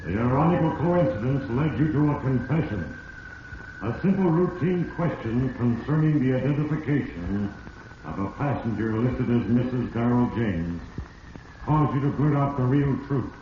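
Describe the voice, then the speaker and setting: An actor playing Fate, a grave all-knowing narrator passing stern judgment in a solemn, portentous 1940s radio mystery style. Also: male voice, mid-range voice, lively intonation, moderate pace, far from the mic, natural voice. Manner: solemn, portentous second-person address. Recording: echoing, muffled, noisy vintage radio recording.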